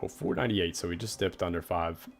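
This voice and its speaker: A man's voice: sits low, quick pace. A young man chatting casually on a game livestream, rambling and reading viewer messages aloud. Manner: relaxed, rambling, reads chat aloud. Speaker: gaming livestreamer. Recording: faint game music behind.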